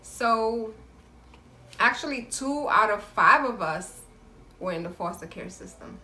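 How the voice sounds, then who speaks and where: A woman in her late thirties from New York, chatting casually as a guest and telling her life story in a soft, easygoing way. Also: a little high, expressive, a little slow, echoey room. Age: 30s. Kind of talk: casual chat.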